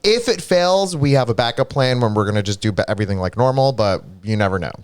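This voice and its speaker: A man's voice: mid-range voice, quick pace, smooth, no echo. A man co-hosting a live stream, chatting casually and greeting viewers, with a congested, stuffy-nosed voice. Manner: chatty, reads viewer comments aloud, animated asides. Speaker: streamer co-host.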